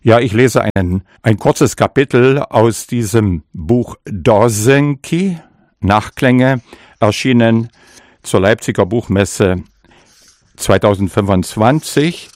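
An older man with a slightly low voice, speaking very slowly and thoughtfully, pausing to search for words. His first language is Sorbian, and the dry, close sound leaves nothing of the room behind him. He sounds like a poet talking about his life and work. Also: a little mellow, no room sound. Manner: slow, measured, reflective with hesitations. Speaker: poet, interview guest. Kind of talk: interview answers and author reading.

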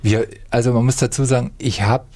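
A man's voice: medium pitch, steady tone, fairly quick, darkish tone, no room sound. A middle-aged man from Saxony-Anhalt, a radio host telling old stories in casual chat and stepping in to correct his friend. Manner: storytelling, interrupts to correct. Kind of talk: reminiscing chat.

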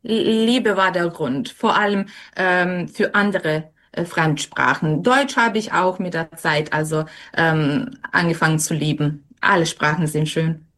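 Slow, hesitant young woman. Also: fairly low pitch, a bit bright. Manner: many fillers and restarts, thoughtful. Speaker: interviewee. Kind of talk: hesitant conversational answers.

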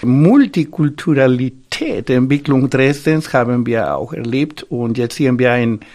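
A man's voice: mid-range voice, expressive, a little slow, darkish tone. A middle-aged man from Mexico, a native Spanish speaker with a Mexican accent, giving conversational answers as a guest in a radio studio. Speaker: interview guest. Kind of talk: conversational interview answers in non-native German.

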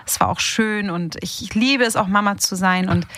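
A woman's voice: medium pitch, moderate pace, fairly bright tone, dry recording. A woman in her thirties from Hamburg, chatting informally and enthusiastically as an interview guest. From Hamburg, Germany. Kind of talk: casual interview chat. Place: radio studio. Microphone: studio microphone.

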